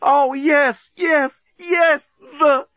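A man's voice: very high-pitched, big pitch swings, normal speed, fairly bright tone, no echo, dull audio, voice pushed up, heavily acted. A man voicing a flustered, easily swept-away woman lawyer who cries out in a storybook reading. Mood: swooning. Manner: breathless crying out, exclaiming.

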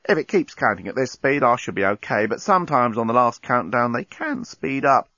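A man's voice: mid-range voice, animated, fairly quick, sharp tone, no room sound, highs cut off. A man voicing a matter-of-fact bomb disposal technician in a storybook, hesitant as he explains technical details.

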